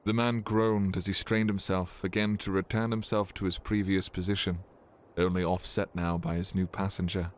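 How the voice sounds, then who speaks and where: A man's voice: sits low, level tone, normal speed, few pauses, a little hiss or hum. A man reading scripted narration for a fantasy audio drama as its storyteller.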